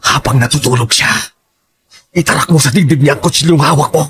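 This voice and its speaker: An actor playing a sinister voice urging a killing in a horror audio drama, menacing and insistent. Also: male voice, fairly high pitch, quick pace, a bit bright, natural voice.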